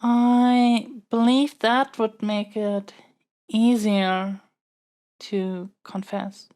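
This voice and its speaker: A woman playing a stubborn, scheming, melodramatic young captive princess in a scripted audio roleplay, with formal, theatrical phrasing and halting pauses. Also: fairly high pitch, flat delivery, a little mellow, clear, resonant tone. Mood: sheepish, coy.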